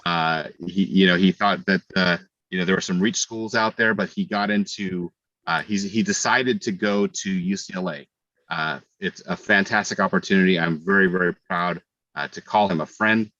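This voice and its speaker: A middle-aged man serving as a school board trustee, giving halting remarks with repeated words at a public meeting. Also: low-pitched, bright, forceful tone, no room sound, muffled recording.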